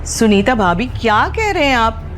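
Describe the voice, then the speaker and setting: A woman's voice: on the high side, sing-song, normal speed, soft background music. An actress playing a boastful, condescending middle-aged mother who flaunts her wealth in an audio drama, taunting and haughty. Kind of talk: Hindi audio drama acting.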